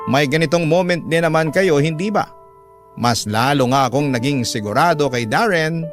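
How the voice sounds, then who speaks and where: A man hosting a radio drama, reading a love story as scripted, sentimental narration in measured phrases. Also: mid-range voice. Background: guitar music.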